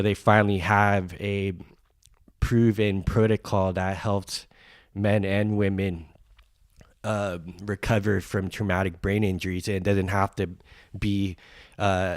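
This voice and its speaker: A man's voice: low voice, a little mellow, no room sound, clean studio sound. A man hosting a podcast, stuffed up from a cold, rambling with many fillers as he introduces his guest. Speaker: podcast host.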